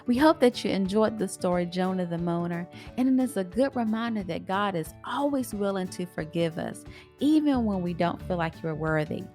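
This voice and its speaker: A woman reading a children's story aloud in an expressive, sing-song rhyming delivery. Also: mid-range voice, moderate pace, faint music behind.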